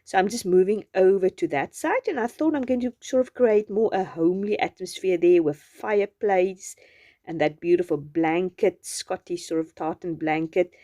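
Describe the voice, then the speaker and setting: Mellow-voiced South African woman. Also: fairly low pitch, moderate pace. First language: Afrikaans. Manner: gentle, chatty, meandering with restarts. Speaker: journaling channel host. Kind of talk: voice-over narration of a craft journal.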